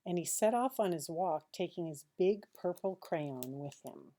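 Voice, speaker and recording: A woman reads a children's picture book aloud like a teacher, expressively, with dramatic pauses and hushed asides. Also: lowish voice, expressive.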